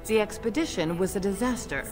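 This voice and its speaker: A woman playing a shrewd noblewoman in a fantasy game, speaking in a measured, formal, instructive manner. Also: mid-range voice, expressive, lightly acted. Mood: shrewd, explaining. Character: shrewd noble lady, calm political schemer. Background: loud music.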